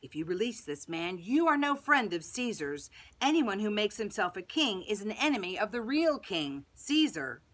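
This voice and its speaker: A stern, scheming high priest voiced by a woman storyteller pushes his case insistently. The voice is bright and forceful, accusing and emphatic. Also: sing-song, moderate pace, hardly any pauses. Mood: threatening.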